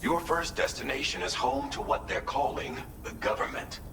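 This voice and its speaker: A man's voice: medium pitch, expressive, moderate pace, gravelly, background noise. A man playing a grave, reassuring mission commander in a game, speaking formally and with purpose. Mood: solemn, supportive. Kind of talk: cinematic game dialogue. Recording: echoey hall, distant, music behind.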